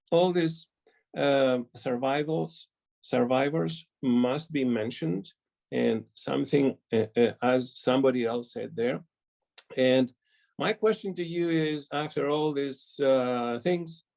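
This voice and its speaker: Slow, hesitant Greek-accented man. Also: medium pitch, creaky, a little mellow. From Greece. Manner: slow, hesitant, many fillers and restarts. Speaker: community member asking a question. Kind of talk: online public meeting, casual remarks. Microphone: video call.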